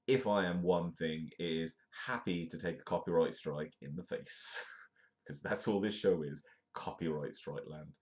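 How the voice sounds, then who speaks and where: Stuffy-nosed British man with a very deep voice. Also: darkish tone. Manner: chatty, joking, reads news aloud. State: congested, stuffy nose. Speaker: host. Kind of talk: comedic live news show.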